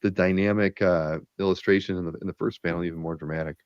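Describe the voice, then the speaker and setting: A man hosting a casual video-call chat about art, rambling and enthusiastic with lots of fillers, a bit hoarse from a cold. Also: low-pitched, steady tone, fast talker, no room sound, muffled recording. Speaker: podcast host. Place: home office, video call.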